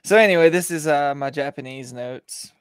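A man's voice: on the high side, moderate pace, clean tone, no room sound. A man in his thirties co-hosting a podcast over a video call on a headset mic, bantering in an offhand, joking way. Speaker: podcast co-host. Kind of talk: casual chat. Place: home room on a video call.

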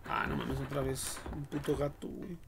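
A young man with a Mexican accent giving casual, slangy, foul-mouthed commentary on a horror game livestream, reacting out loud as he plays. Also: medium pitch, steady tone, normal speed, gravelly. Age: young adult. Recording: noisy home livestream with clicks and typing.

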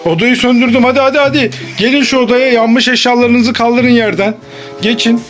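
A woman's voice: on the high side, fairly even intonation, normal speed, soft background music. A woman improvising playful pretend play with children, exclaiming and repeating her instructions.

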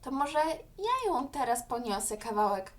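A curious, caring young girl in a children's audio story, played by a woman. Her voice is high and often lifts further. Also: big pitch swings, measured pace. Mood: concerned.

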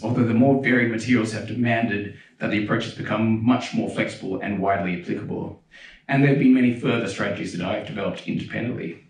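Man lecturing in a roomy hall. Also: lowish voice. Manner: relaxed, chatty, with frequent fillers and restarts. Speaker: pianist giving a keynote talk. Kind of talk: conference lecture with video examples. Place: large dark concert hall. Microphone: handheld mic. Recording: roomy hall sound, mic heard from a distance.

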